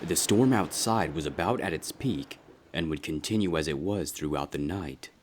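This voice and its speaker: A man reading scripted fan fiction as a dramatic story narrator, with a husky voice. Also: rumbling low, normal speed, slight background noise.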